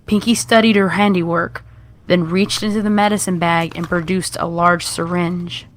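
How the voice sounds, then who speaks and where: A woman's voice: on the low side, flat delivery, moderate pace, noisy background. A woman reading a scripted horror story as its narrator.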